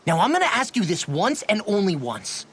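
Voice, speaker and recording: A man's voice: high-pitched, wildly swooping pitch, moderate pace, a bit bright, breathy edge, full character voice. A man voicing a brash, hot-headed young fighter in a game, with a blunt, cocky, informal way of talking. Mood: frustrated, challenging. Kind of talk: dubbed anime-style game voice acting. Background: background music.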